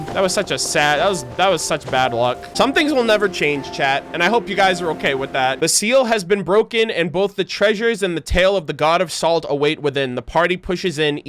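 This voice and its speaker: Male streamer, high voice, very lively pitch, casual, slangy, sweary, game music behind. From United States. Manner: slangy, profane, reactive.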